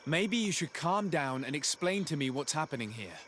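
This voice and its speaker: A courteous noble envoy in a video game. His voice sits a little high and stays composed and diplomatic. Faint ambient noise lies behind it. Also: big pitch swings, moderate pace, fairly bright tone. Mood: composed, reassuring. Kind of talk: video game cutscene dialogue.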